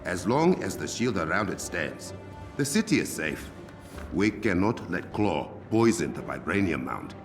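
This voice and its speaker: Resolute warrior king: African accent, gravelly, formal and measured yet widely inflected, video game drama. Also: low voice, big pitch swings, full character voice. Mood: defiant. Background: cinematic game music.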